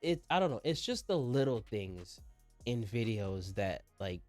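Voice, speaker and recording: A man's voice: mid-range voice, very animated, measured pace, darkish tone, no room sound. A man hosting a music reaction video in casual, slangy commentary, enthusiastic and often repeating himself.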